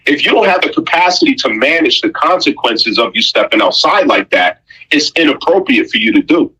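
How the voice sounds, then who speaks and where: Forceful raspy guy going off on his opinions, repeats himself for emphasis, blunt slangy talk. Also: fairly high pitch, sing-song, fairly quick, rough recording. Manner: emphatic, preachy, rhetorical questions and repetition. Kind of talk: opinionated conversational monologue.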